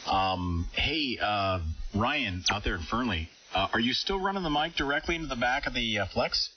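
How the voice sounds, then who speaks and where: A man's voice: mid-range voice, animated, moderate pace. A man leading a casual, technical amateur radio chat, heard over a radio transmission. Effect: ham radio transmission. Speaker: amateur radio operator leading an on-air contact. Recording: dull hissy shortwave radio audio, distant.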